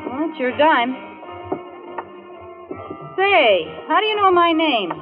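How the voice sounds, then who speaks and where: Tough young dance hall hostess, high voice, wary and slangy, old tinny radio crime drama with music underneath. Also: female voice, very animated, takes it slowly, full character voice. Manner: hard-boiled, slangy, wary.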